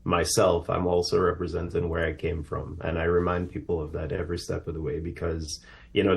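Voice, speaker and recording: A man's voice: low voice, creaky, a little mellow. A man from Trinidad and Tobago, a guest in a live TV interview who answers earnestly and reflectively, with plenty of fillers. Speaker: aerospace professional guest.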